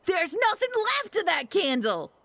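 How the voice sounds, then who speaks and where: Very high, excitable cheeky kid. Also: female voice, normal speed, vocal fry, no room sound, full character voice. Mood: excited, cheering. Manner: exuberant, slangy, cheering. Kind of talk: animated audio drama acting.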